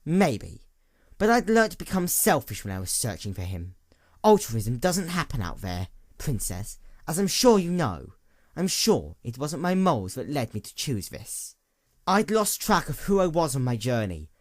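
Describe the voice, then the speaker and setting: A man's voice: medium pitch, sing-song, normal speed, no room sound, studio quality, natural voice. A man voicing an embittered, hollowed-out female pony in a dramatic story, brooding and intense. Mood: bitter, weary.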